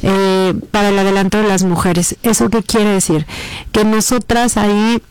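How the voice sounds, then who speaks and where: A woman's voice: mid-range voice, steady tone, moderate pace, a little mellow, clean tone, dry recording, poor audio. Reflective Mexican woman in her fifties. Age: 50s. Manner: earnest, reflective, many fillers and restarts. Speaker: guest, lawyer and public servant. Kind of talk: casual radio interview. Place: radio booth.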